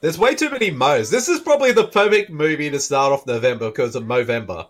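A man's voice: on the high side, expressive, fairly quick. A man from Canada riffing casually on a movie, joking and laughing often. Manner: jokey, laughs often, quotes lines in silly voices. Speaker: movie commentary host.

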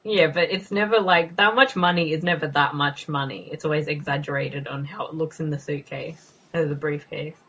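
A woman's voice: sits low, steady tone, normal speed, few pauses, fairly bright tone, slightly rough, poor audio. A young woman casually co-hosting a movie commentary, joking, sarcastic and swearing freely. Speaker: co-host.

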